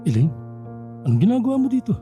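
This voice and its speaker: A Filipino man acting as a conflicted middle-aged lover and family friend, earnest and placating, with a muted husky voice in a radio drama. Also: sits high, very animated, fast talker, music in the background. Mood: firm, resigned. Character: conflicted older boyfriend, an apologetic family friend.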